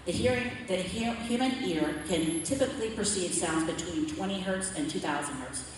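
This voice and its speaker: A woman's voice: moderate pace, noisy background. A woman giving a plain, explanatory slide presentation at a community forum, often checking in with "okay?". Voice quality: low voice. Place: large echoey meeting hall. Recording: echoey hall, voice from far off.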